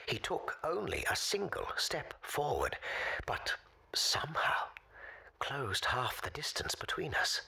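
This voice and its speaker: A woman playing an elderly, weary, wily former spy in an audio drama, with a hoarse, half-whispered rasp and formal, deliberate storytelling. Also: very deep voice, pushed and bright, full character voice. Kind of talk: dramatic monologue in audio fiction.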